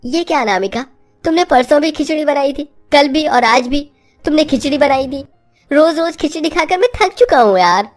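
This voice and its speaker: A woman's voice: sits high, animated, normal speed, soft background music, pitched above the performer's own voice. A woman voicing a hungry, grumbling young husband, tired from office work, in a moral-story cartoon. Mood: impatient. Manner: complaining, fed up.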